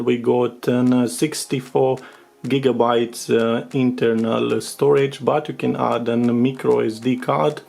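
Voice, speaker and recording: A man whose first language is Romanian walks through a product in an informal, unscripted way. His mid-pitched voice has noticeable fry, and he often pauses on fillers. Faint guitar music plays behind him. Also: darkish tone. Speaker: tech reviewer. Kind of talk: casual unscripted product overview commentary.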